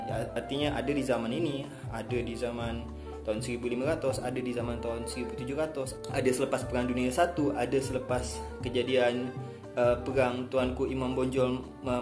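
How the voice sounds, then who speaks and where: A man from Indonesia, explaining a topic in a casual talk to camera, often pausing with filler sounds and restarts. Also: mid-range voice. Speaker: video host. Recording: echoey room with music behind.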